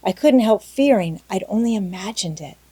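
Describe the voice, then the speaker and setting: A woman's voice: medium pitch, expressive, normal speed, dark tone, husky, no room sound. A woman voicing a talkative, dreamy young orphan girl in an audiobook, gushing earnestly and dramatically. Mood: thrilled. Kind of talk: audiobook character acting.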